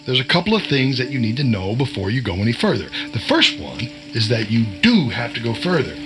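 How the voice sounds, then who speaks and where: A man's voice: mid-range voice, sing-song, fairly quick, music in the background, lightly acted. A man playing a cagey, wisecracking veteran insider in an audio drama, with a folksy, chiding, cryptic manner. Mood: ominous, wry. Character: cagey, wisecracking male veteran insider and short-order cook.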